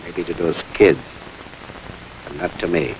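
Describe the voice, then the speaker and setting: An actor playing a surly, hot-tempered gangster who talks in a blunt, sneering, resentful way, in 1930s crime film style. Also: male voice, low voice, fairly even intonation, measured pace, a little hiss or hum, low-quality recording.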